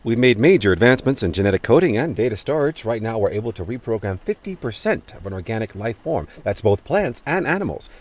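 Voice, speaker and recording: A man playing a smooth, evasive chief researcher in a sci-fi audio drama interview, formal and technical in his wording. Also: mid-range voice, very animated, fast, few pauses. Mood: self-assured. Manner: formal, technical, self-assured.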